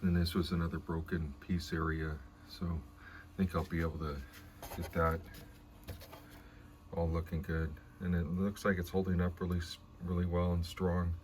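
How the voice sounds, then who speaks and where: Man, deep voice, casual demonstration, unhurried and hesitant, noisy background. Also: dark tone. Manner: halting, unhurried, thinking aloud. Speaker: vlogger restoring an old radio. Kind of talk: casual hands-on demonstration commentary. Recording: clear background noise.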